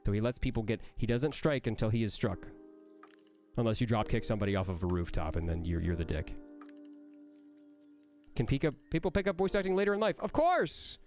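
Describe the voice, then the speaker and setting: A man's voice: mid-range voice, big pitch swings, fairly quick, pauses often, darkish tone, soft background music. A young man, a voice actor, reading out and answering chat questions on a livestream, with a nasal voice that sounds like he has a cold, playful and joking.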